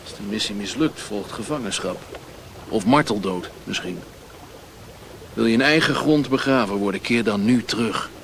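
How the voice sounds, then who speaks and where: An actor playing a fat, shady Chinese merchant in a radio play, mocking and philosophical, with a deliberate, taunting way of talking. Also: male voice, lowish voice, vocal fry, fairly bright tone, slight background noise. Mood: taunting, menacing. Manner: sardonic, taunting, deliberate, given to aphorisms.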